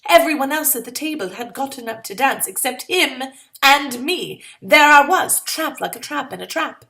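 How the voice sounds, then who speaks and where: A woman's voice: sits high, expressive, moderate pace, pushed and bright, exaggerated voice. A woman reading a short story in the first person as a sardonic, exasperated young woman of the 1920s, with sarcastic, melodramatic delivery. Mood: bitter, self-pitying.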